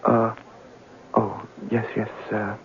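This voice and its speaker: An actor playing a troubled young man in his late twenties, halting and distracted, in an old-time radio drama. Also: fairly low pitch, completely flat delivery, creaky. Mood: troubled, searching. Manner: halting, soft-spoken, distracted. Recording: tinny, noisy vintage radio recording.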